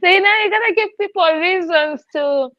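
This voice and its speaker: A woman hosting a casual podcast interview in an animated, informal, playful way. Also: extremely high pitch, a little fast, no echo, muffled recording. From Tanzania. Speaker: podcast host.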